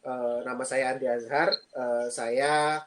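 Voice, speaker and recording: A man in his thirties from Indonesia, a guest on a live-streamed interview, explaining things earnestly with frequent pauses. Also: medium pitch, fairly even intonation. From Lampung, Indonesia. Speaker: guest, PhD student and lecturer.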